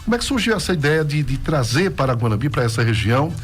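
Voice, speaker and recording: A man's voice: on the high side, very animated, quick pace. A man with a Northeastern Brazilian accent hosting a casual radio interview in a chatty, folksy way, often checking for agreement with tag questions. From Pernambuco, Brazil. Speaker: radio host interviewing guests. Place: radio station studio. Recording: music behind the voice. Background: music.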